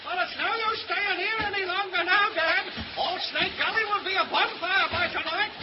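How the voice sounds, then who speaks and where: A man's voice: very high voice, moderate pace. An actor playing a gloomy Australian country man fighting a bushfire in a 1930s radio serial. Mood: resigned. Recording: muffled, very noisy 1930s radio recording.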